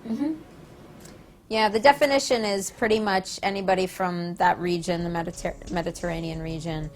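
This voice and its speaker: A woman's voice: on the low side, steady tone, moderate pace, slight background noise. A woman with an American accent giving emphatic political commentary in an interview, often posing rhetorical questions. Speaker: interviewee, peace activist speaker.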